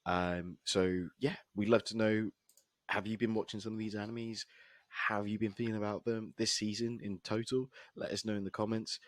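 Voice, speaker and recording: A man with a hoarse, husky voice co-hosting a casual podcast and laughing often between remarks. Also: on the low side, level tone, normal speed, dry recording, studio quality. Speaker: podcast co-host. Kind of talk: casual chat.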